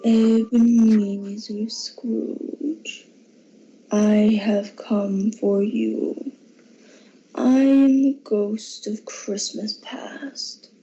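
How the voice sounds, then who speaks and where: Teen girl doing a calm ghostly spirit, super slow n very soft dark tone, breathy, muffled radio play audio. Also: a little high, heavily acted. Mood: solemn. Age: teenager. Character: calm, solemn, otherworldly ghost of Christmas past. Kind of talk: radio drama acting. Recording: dull lo-fi amateur recording.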